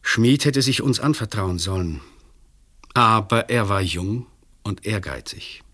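A man's voice: on the low side, animated, unhurried, pushed and bright, full character voice. An actor playing a weary, disillusioned male examining magistrate in a German radio play, speaking formally and rhetorically. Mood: sober, resigned.

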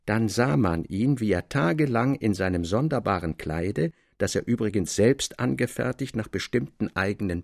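A man narrating a classic literary tale in a measured, articulate way with expressive emphasis. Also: on the low side, creaky, dry recording, clean studio sound.

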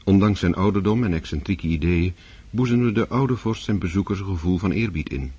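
Male narrator, deep voice, husky, measured, dull lo-fi recording. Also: dark tone, no room sound. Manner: measured storytelling. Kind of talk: scripted narration.

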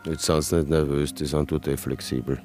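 An actor playing a laconic, wry broadcaster with a gravelly voice and an Austrian accent, deadpan in a radio drama. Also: male voice, a bass voice, a little fast, soft background music. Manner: dry, deadpan. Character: laconic, wry man, a well-known broadcaster.

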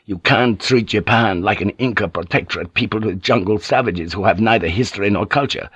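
A man's voice: on the low side, a little fast, a bit bright, breathy edge, no room sound, dull audio. A man reading an audiobook and voicing a stern, commanding church leader. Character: stern authoritative older male church leader.

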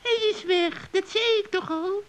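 A performer playing a gloating, spiteful old witch in a children's radio play, with a creaky, cackling voice and a mock-friendly, taunting manner. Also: very high-pitched, measured pace, fairly bright tone.

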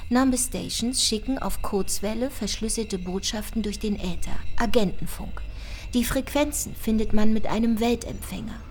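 A woman's voice: on the high side, normal speed, a little mellow, natural voice. A woman playing a knowledgeable investigator in a radio play, explaining things in a didactic, digressive way. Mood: explanatory.

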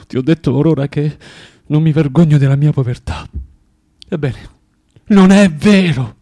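Guy playing bitter self-loathing underground man, radio play, slightly high voice, dramatic and volatile. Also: dark tone, lightly acted. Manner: volatile, declamatory, halting dramatic delivery. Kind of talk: theatrical radio drama acting and monologue.

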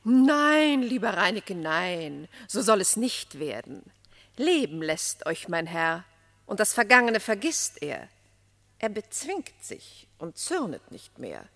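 A woman's voice: medium pitch, sing-song, slow, a bit bright, heavily acted. An actress playing a gracious, persuasive queen of the animals, speaking radio-play verse in a stately, declamatory manner. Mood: soothing, gracious. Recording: reverberant studio sound.

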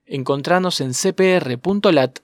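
A man's voice: on the high side, moderate pace, no room sound, studio quality. A man reading scripted documentary narration. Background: guitar music.

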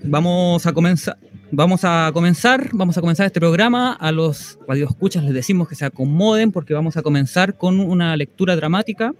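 A man's voice: a little high, animated, fairly quick. A man hosting a community radio show, presenting segments and reading aloud. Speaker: radio host.

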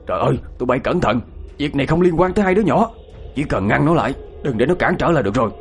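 A man's voice: mid-range voice, animated, fast, faint music behind, close to an everyday voice. A man voicing an older village elder who cries out urgently. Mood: alarmed. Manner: exclaiming, urgent.

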